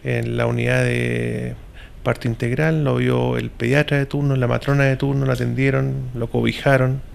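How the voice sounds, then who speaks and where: A middle-aged Chilean man speaks as a hospital director into a cluster of mics indoors. His voice is strongly gravelly and almost monotone, matter-of-fact throughout. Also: mid-range voice, moderate pace. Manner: flat, matter-of-fact clinical report. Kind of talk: press statement. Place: indoor office. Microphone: several handheld reporter mics.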